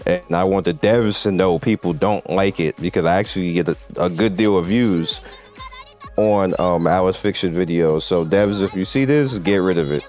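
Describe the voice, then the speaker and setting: A man with a fairly low voice gives casual gameplay commentary. He speaks emphatically, circling back to hammer his points home, with faint music underneath. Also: moderate pace, darkish tone. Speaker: host of a mobile game video channel. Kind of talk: casual gameplay commentary, talking to viewers and developers.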